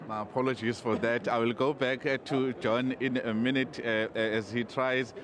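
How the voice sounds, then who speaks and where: A male business reporter interviewing a guest live, halting often with many fillers. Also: medium pitch, steady tone. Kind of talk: live outdoor news interview. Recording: street noise behind.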